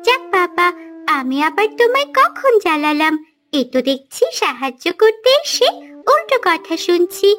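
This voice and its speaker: A woman voicing a kindly, curious helper in a fairy-tale cartoon, coaxing and gently teasing. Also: very high-pitched, sing-song, normal speed, full character voice. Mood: mildly miffed. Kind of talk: Bengali cartoon voice acting. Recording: music behind the voice.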